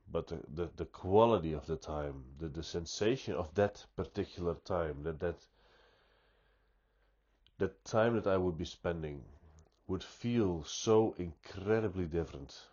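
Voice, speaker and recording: A man with a stuffy nose giving an unscripted, reflective monologue, speaking deliberately and often restarting his sentences. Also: deep voice, expressive, darkish tone, highs cut off. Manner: slow, deliberate, thoughtful, with frequent restarts. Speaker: pipe-smoking vlogger.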